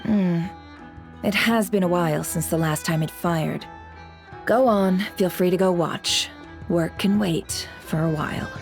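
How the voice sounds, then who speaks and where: A woman playing a calm, analytical boss in a video game, speaking in a deliberate, matter-of-fact way. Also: on the low side, soft background music. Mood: calmly indulgent. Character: composed, analytical female boss, calm and measured.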